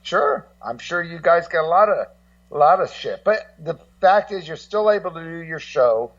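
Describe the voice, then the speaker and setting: A man co-hosting as a guest in casual, swearing chat, rambling excitably and repeating his words. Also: fairly high pitch, moderate pace. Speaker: guest co-host. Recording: dull lo-fi, distant-sounding connection.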